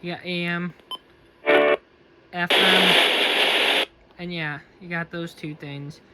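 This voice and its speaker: A man's voice: sits high, steady tone, slow pace. A man giving a casual, unscripted product review and demonstration. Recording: faint background noise.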